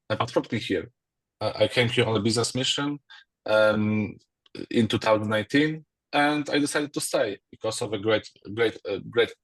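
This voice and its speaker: A man from Poland with a Polish accent, introducing himself on a video call in a halting way, with many restarts. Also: mid-range voice, no echo. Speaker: entrepreneur guest.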